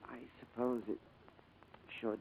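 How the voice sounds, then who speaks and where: An actor in a 1940s-style radio drama playing a gentle amnesiac man who speaks shyly, with a nervous stammer. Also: mid-range voice, flat delivery, narrow, tinny audio. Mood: subdued, wistful. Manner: hesitant, halting, stammering. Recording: old radio broadcast recording.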